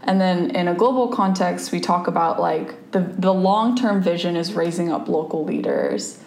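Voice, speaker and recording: Soft-voiced woman, roomy echoey sound. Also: lowish voice, very animated, moderate pace, gravelly. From Japan. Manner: thoughtful, many fillers and restarts. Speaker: campus ministry staff, guest. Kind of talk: conversational interview. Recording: roomy echo, faint background noise.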